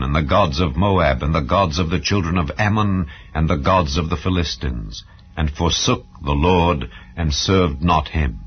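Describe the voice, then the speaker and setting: A man reading scripture as a solemn, measured narrator in a dramatized style. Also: sits low, a little hiss or hum. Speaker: narrator of a dramatized audio Bible. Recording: dull, muffled lo-fi sound.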